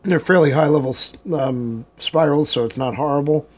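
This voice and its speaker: Hoarse man clearing his throat. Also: a little high, moderate pace, darkish tone. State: hoarse from a cold. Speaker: gaming live streamer. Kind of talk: casual gameplay commentary.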